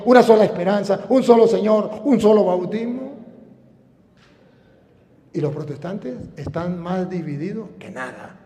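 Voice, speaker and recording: High-pitched Venezuelan priest preaching in a hall. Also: male voice, darkish tone. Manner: emphatic, declamatory, drawn-out key phrases with rhetorical questions. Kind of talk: sermon. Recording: echoing hall with long reverb.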